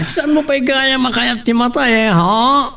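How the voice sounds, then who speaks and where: A man's voice: very high voice, creaky, full character voice. A man playing a menacing, taunting bandit with an Ilocano accent in a radio drama. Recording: noisy background hiss and chirping.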